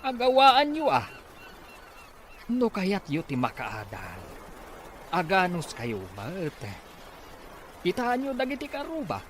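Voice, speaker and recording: A man's voice: high-pitched, wildly swooping pitch, takes it slowly, pauses often, a little hiss or hum, exaggerated voice. A man playing a stern, scolding farmer father in an Ilocano radio drama. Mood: scolding, exasperated. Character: stern farmer father scolding his lazy college-age children.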